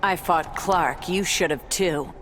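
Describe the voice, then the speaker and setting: A woman's voice: fairly low pitch, slow pace. A tough, sardonic female fighter. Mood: defiant, sarcastic. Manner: blunt, sarcastic. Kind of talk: video game voice acting. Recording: loud music behind the voice.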